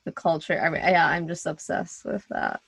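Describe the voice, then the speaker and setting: A woman's voice: low voice, normal speed, gravelly, breathy edge, no room sound. A woman with an American accent from the West Coast, co-hosting a podcast in an informal, wordy conversational way with trailing asides. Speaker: podcast co-host.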